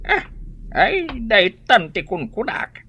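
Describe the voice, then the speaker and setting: Woman acting husky, sharp Filipino village scold. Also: medium pitch, very animated, takes it slowly, highs cut off, heavily acted. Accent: Filipino, Ilocano. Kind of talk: comedic Ilocano radio drama acting.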